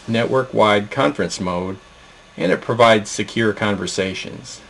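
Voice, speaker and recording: A man's voice: fairly low pitch, creaky, low-quality recording. A man giving an instructional product demo narration in a plain, explanatory way. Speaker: product presenter.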